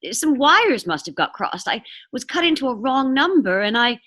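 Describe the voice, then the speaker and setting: An anxious, demanding housewife acted by a woman in an old radio thriller. Her voice runs high and swings dramatically, fretful and insistent. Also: sing-song, normal speed, a bit bright, full character voice. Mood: agitated, insistent. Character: anxious, demanding, sickly housewife alone at home. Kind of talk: 1940s radio thriller acting.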